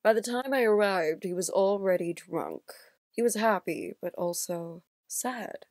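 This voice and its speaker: An actress playing a confident, alluring and mysterious stage actress who comes as a client in an audio drama, poised and dramatic. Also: female voice, mid-range voice, sing-song, measured pace, a little mellow, dry recording, clean studio sound. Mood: wistful, confiding.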